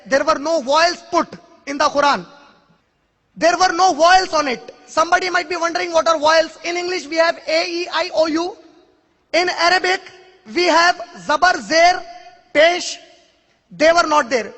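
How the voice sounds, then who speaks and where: A man's voice: very high-pitched, normal speed, bright, forceful tone. A man whose first language is Urdu, answering an audience question in a public lecture, emphatic and challenging, with rhetorical questions. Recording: long hall reverb.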